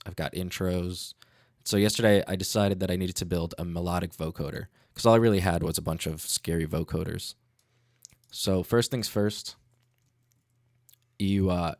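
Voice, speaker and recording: A man's voice: deep voice, no room sound. A man hosting a casual livestream tutorial as a music producer, talking in a laid-back, slangy way into a studio microphone.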